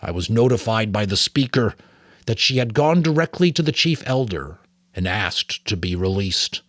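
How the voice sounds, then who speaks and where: A man's voice: on the low side, expressive, moderate pace, fairly bright tone, no echo. A man voicing a sorrowful, weary old mentor in a grave, faltering, earnest way, as in a storybook. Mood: heavy sorrow. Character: sorrowful, weary old male mentor confessing painful memories.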